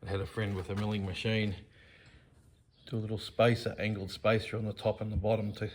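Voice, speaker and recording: Low voiced guy doing a diy how-to, matter of fact, step by step, bit of background noise. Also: level tone, a little fast. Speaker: DIY presenter. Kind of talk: hands-on how-to narration.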